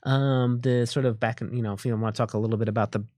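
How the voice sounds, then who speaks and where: A man hosts a casual livestream from a studio. His voice sits at a middle pitch in a dry, close recording, and he talks haltingly, often searching for the next word. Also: fairly even intonation, warm tone, dry recording. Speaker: stream host. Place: indoor studio.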